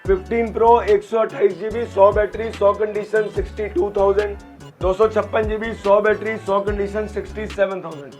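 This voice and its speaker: A man's voice: high-pitched, fairly even intonation, normal speed, darkish tone, soft background music. A man with a sore throat, selling phones in his shop and rattling off prices in short clipped lists. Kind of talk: sales pitch in a shop. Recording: dull lo-fi sound.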